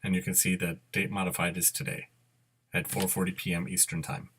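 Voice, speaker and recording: A middle-aged man narrating a step-by-step screen demo, unscripted and halting, correcting himself as he instructs. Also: low-pitched, level tone, fairly bright tone, breathy edge. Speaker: software tutorial presenter. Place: indoor room at a computer.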